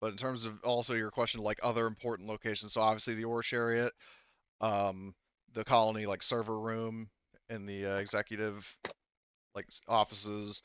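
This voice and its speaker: A man working as game master, explaining a tabletop game casually and haltingly. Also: lowish voice, little pitch movement, a bit bright, smooth, no room sound, muffled recording.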